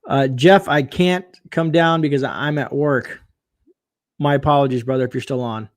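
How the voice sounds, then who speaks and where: A man hosting a casual late-night livestream, chatting and reading viewer comments aloud, his voice getting hoarse. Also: medium pitch, normal speed. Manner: chatty, reads viewer comments aloud, frequent fillers and laughs. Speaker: livestream host.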